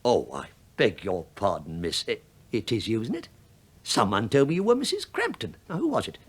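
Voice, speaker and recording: An actor playing an older British police inspector in a radio play, falsely humble, rambling and needling with mock politeness. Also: male voice, lowish voice, wildly swooping pitch, moderate pace, husky, full character voice. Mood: sly, mock-polite.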